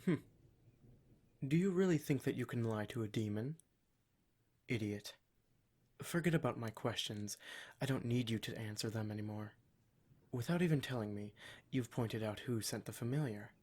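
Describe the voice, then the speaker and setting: Man voicing a smug, measured, menacing demon. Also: mid-range voice, darkish tone, close to an everyday voice. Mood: disdainful. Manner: measured, condescending, formal villain speech. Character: smug, menacing male demon soul-collector toying with his captive. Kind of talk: ASMR roleplay monologue addressed to the listener.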